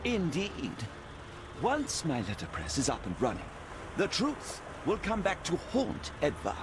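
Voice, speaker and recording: High-voiced, eager, polite printer. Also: male voice, wildly swooping pitch, a little slow, noisy background. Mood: earnest, hopeful. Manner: polite, earnest. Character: eager, polite male printer, helpful ally. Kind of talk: video game cutscene acting. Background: music.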